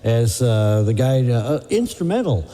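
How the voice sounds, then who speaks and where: A mellow-voiced man hosts a casual radio interview. His pitch moves around in a lively way as he prompts his guest. A long reverb hangs on the recording. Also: a little slow. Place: live radio broadcast.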